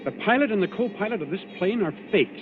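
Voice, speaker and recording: An actor playing a resolute, level-headed young naval commander in 1940s radio drama style. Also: male voice, high voice, animated, quick pace, noisy background. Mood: grave, firm. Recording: tinny old radio broadcast, music behind.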